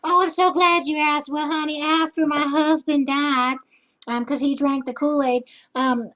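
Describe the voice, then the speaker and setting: A woman's voice: sits high, steady tone, a little slow, a bit bright. A woman putting on a flirty, drawling country lady who calls the caller sweetheart, as a scam baiter.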